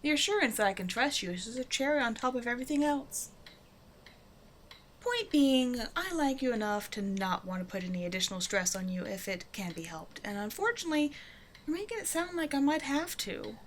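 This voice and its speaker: A woman's voice: a little high, big pitch swings, fairly quick, a little hiss or hum. A woman playing a flirtatious, self-assured supervillain in an intimate roleplay audio drama, talking casually and teasingly to the listener. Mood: confident, mildly exasperated. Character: flirtatious female supervillain, a demanding but generous tailor's customer.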